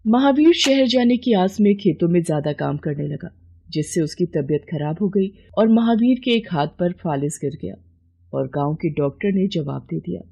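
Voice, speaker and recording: A woman's voice: on the low side, animated, moderate pace, creaky, dark tone, breathy edge, dull audio. A woman narrator reading a scripted moral story in a storytelling style.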